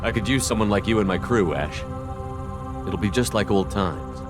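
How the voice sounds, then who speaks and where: An actor playing a blunt, steadfast space commander in a video game drama. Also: male voice, lowish voice, moderate pace, music in the background, close to an everyday voice. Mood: firm, persuasive.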